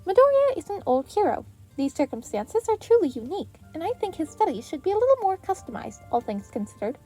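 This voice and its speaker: Woman as a high, chirpy little animal principal. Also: sing-song, a little fast, dark tone, soft background music, poor audio. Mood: cheerful, decisive. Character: cheerful small animal school principal with paws.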